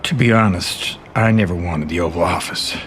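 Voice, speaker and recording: An actor playing a guarded, irritated senator who talks bluntly in everyday conversational tones. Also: male voice, low voice, normal speed, a bit bright, a little hiss or hum. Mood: wary, defiant. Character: wary, irritated male senator resisting pressure.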